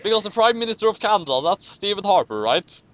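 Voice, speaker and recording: Male comedy reader, bright forceful voice, swinging intonation, mocking asides, stumbling and repeating lines. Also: high-pitched, big pitch swings, fast, slight background noise. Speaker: host of a comic fan fiction reading. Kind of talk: dramatic reading with mocking commentary.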